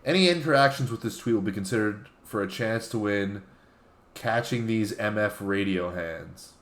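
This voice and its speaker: A man with a fairly low voice talks casually as a guest on a comedy show. He reads posts aloud and explains them haltingly, sometimes apologetically. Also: fairly bright tone. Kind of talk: casual banter, reading out tweets.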